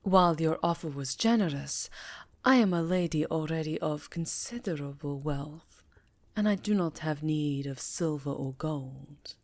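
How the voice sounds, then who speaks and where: Woman playing a languid, low-voiced vampire countess. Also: unhurried, darkish tone, no room sound. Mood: imperious. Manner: formal, languid, savoring words. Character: regal, sly female vampire countess, courteous and faintly menacing. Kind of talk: ASMR fantasy roleplay acting.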